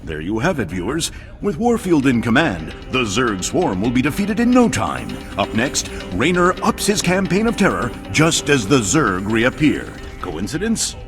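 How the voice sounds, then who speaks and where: A man's voice: mid-range voice, wildly swooping pitch, moderate pace. A pompous television newsreader in a game parody. His theatrical announcer voice leaps up and down, over faint music. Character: pompous, slanted television news anchor. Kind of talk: parody TV news in a video game.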